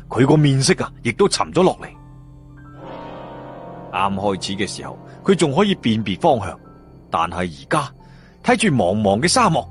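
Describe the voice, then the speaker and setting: Male Cantonese storyteller, Hong Kong accent, lively intonation, dramatic and colloquial, over clear background music. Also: mid-range voice. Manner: expressive, colloquial, with drawn-out sentence particles. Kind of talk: dramatic audiobook-style fantasy story narration. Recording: music behind the voice.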